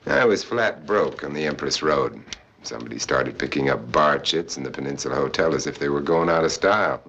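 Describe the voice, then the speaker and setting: An actor playing a wry, world-weary adventurer for hire who drinks hard, speaking in a theatrical, sardonic and lyrical way in an old film. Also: male voice, a bass voice, expressive, fairly quick, creaky, a bit bright, slight background noise, exaggerated voice. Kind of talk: old film acting with poetic first-person narration. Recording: muffled old film soundtrack, faint music.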